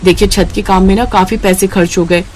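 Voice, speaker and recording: A woman's voice: mid-range voice, steady tone, a little fast, background noise, close to an everyday voice. A woman playing a polite, reassuring and thrifty Indian housewife in a scripted moral story. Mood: practical. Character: thrifty, dutiful middle-class Indian housewife, patient and caring.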